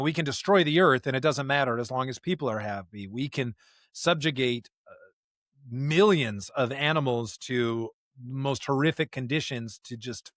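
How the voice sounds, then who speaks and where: A man's voice: mid-range voice, fairly bright tone, dry recording, clean studio sound. A man co-hosting a podcast in unscripted theological discussion, speaking emphatically and posing rhetorical questions. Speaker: podcast co-host.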